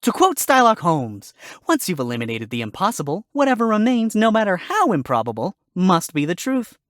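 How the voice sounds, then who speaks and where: Man playing a lively, sarcastic fox lawyer. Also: high-pitched, a little fast, dry recording, studio quality, pitched above the performer's own voice. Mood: wry, confident. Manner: sarcastic, wisecracking. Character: wry, sarcastic male fox defense attorney.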